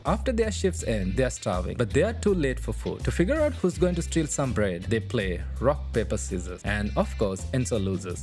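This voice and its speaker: A man whose first language is not English, casually retelling a game's story as a video narrator. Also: mid-range voice. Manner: chatty, informal retelling. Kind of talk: casual game story recap. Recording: voice-over with loud game music underneath.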